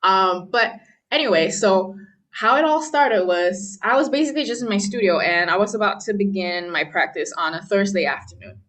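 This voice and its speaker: A young woman from Malaysia tells her story as an interview guest. She talks in a relaxed, chatty way, full of "um" and "you know", and laughs now and then. Her pitch sits in the middle range. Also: moderate pace. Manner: chatty, many fillers, laughs often. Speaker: guest pianist. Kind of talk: casual interview talk.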